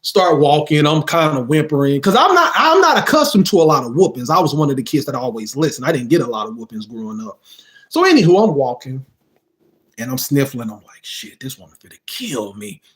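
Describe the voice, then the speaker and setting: A man's voice: a little high, a little fast. A young man, telling a childhood story casually to viewers and acting out the quotes. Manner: animated, acts out quotes, asks "you see what I mean?". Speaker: storytime vlogger.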